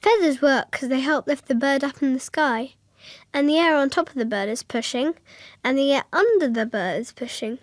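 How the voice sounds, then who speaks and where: Actress as an earnest high-voiced little girl. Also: normal speed, no echo, studio quality, natural voice. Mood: earnest, matter-of-fact. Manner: childlike, literal, explanatory. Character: earnest, matter-of-fact six-year-old girl narrating her world. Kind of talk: radio drama acting and narration.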